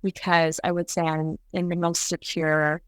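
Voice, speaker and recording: Chatty podcast host woman, lowish voice. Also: flat delivery, a little slow, smooth, no room sound. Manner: chatty, peppered with "like", swears casually. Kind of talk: casual podcast chat.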